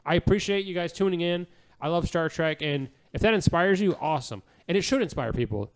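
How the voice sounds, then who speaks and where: A man's voice: fairly high pitch, expressive, brisk, rough recording. A man co-hosting a podcast, chatting casually and enthusiastically in a rambling, gushing way that repeats phrases. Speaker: podcast co-host.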